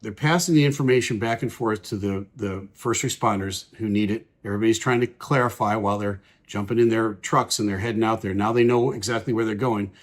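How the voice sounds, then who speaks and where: A man, a pilot, calmly explains air traffic radio calls in measured commentary with frequent pauses. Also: fairly low pitch.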